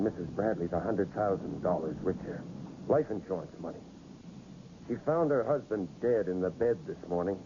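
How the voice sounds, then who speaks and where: An actor playing a tough, skeptical police detective who questions curtly and bullyingly, in a 1980s radio drama style. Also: male voice, on the low side, warm tone. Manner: curt, bullying interrogation. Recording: dull, noisy old radio broadcast recording.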